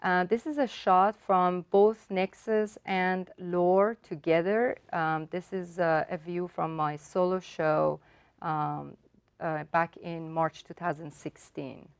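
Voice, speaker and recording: A woman whose first language is Persian, an artist calmly explaining her work and technique step by step, often pausing with ums and uhs. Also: fairly low pitch, clear, resonant tone, no room sound. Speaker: artist giving a demonstration.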